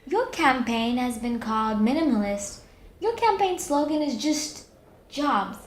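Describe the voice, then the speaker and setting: A woman's voice: high voice, lively intonation, a little slow. A woman playing a perky, earnest news interviewer in a comedic audio drama, asking polite broadcast-style questions. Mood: bright, admiring. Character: female TV news panelist, perky, earnest or starstruck. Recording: roomy echo, faint background noise.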